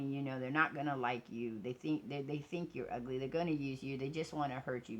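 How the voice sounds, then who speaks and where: A woman's voice: sits low, fairly bright tone. A woman vlogger talking casually and candidly, in an informal, rambling way that drifts from one thought to the next. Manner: rambling, digressive, often trailing off and changing track. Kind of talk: casual rambling personal vlog talk.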